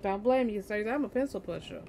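A woman's voice: mid-range voice, expressive, brisk, creaky, dark tone, a little hiss or hum. A woman streamer giving casual, playful let's play commentary, reacting aloud and repeating phrases. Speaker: gaming streamer.

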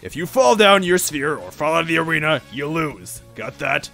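A man voicing a gleefully cruel, bombastic monster game-show boss in a story, with a gravelly, taunting showman's delivery. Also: on the high side, very animated, moderate pace, faint music behind, pitched above the performer's own voice, exaggerated voice. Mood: bossy, impatient. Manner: taunting, shouting showman, sputtering.

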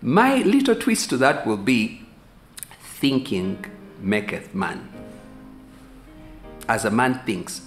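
Ugandan pastor teaching in an echoey room. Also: male voice, medium pitch, big pitch swings, takes it slowly, pauses often, faint music behind. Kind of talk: conversational teaching sermon to camera. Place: small room, seated on a sofa indoors. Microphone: camera at a few feet, medium shot. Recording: echoey room with faint hiss.

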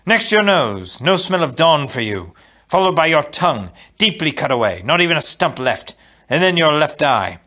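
A man voicing a young storybook hero who delivers commanding, menacing threats with slow theatrical emphasis. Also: on the high side, lively intonation, sharp tone, pitched above the performer's own voice.

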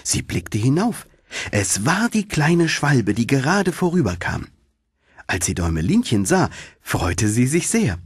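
A man narrating a fairy tale as an audiobook, in an expressive storytelling manner with a husky voice. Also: mid-range voice, unhurried, dry recording, clean studio sound.

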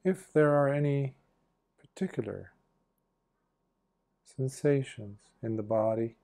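Male meditation guide, mid-range pitch, relaxed and mellow, gentle delivery in short, spaced phrases. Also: creaky. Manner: gentle, hushed instruction with long pauses between short phrases. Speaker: Buddhist monk leading guided meditation.

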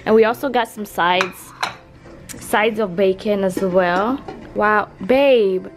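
A young woman chats to her camera as she tours a hotel room. Her talk is casual and full of slang, and her pitch sits mid-range for a woman. Faint music plays under her voice. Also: takes it slowly. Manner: chatty, slangy, talking to viewers and partner. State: voice raspy from just waking up. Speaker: vlogger.

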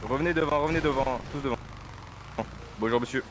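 A man's voice: mid-range voice, fast. A man in casual game voice chat, questioning insistently like a moderator. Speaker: server admin or moderator in a roleplay game. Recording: game voice chat with faint noise.

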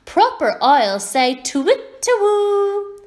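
Storybook little girl acted by a woman, high piping voice, animated, proud and assertive know-it-all. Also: takes it slowly, heavily acted. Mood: pert, scolding. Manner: pert, assertive, exclaiming.

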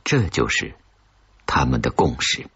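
Low, very husky man narrating suspense. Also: big pitch swings, measured pace, a bit bright, dull audio. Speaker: audiobook narrator. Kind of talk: scripted suspense story narration.

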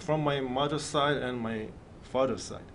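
A man's voice: medium pitch, moderate pace, fairly bright tone, slight background noise. A man in his thirties from Israel with an Israeli accent, answering in conversational, non-native English as an interview guest. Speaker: film director, interview guest. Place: TV studio.

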